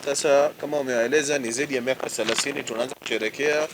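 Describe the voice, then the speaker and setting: Measured businessman, noisy recording. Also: mid-range voice, fairly even intonation. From Tanzania. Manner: measured, explanatory, switching languages. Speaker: company managing director. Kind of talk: business presentation.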